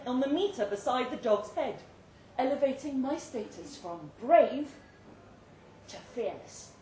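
A British woman reading a literary short story aloud at a live event, with expressive, measured and dramatic phrasing. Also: on the high side. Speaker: story reader. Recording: roomy live venue with faint background noise.

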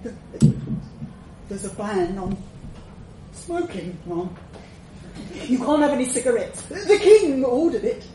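A woman's voice: fairly high pitch, expressive, takes it slowly, pauses often. A woman voicing a snivelling, terrified royal messenger who stammers and pleads in a storytelling performance. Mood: nervous pleading. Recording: echoey room, distant mic, faint noise.

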